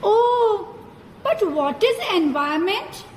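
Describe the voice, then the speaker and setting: A woman's voice: very high voice, lively intonation, slow, heavily acted. A woman voicing an eager, curious young child in a children's puppet show. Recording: echoey hall, background noise.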